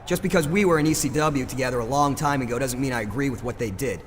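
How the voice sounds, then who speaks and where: A man's voice: mid-range voice, fast, a little hiss or hum, close to an everyday voice. A man playing an earnest, defensive wrestler in a video game, speaking in a casual, placating way. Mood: apologetic, defensive.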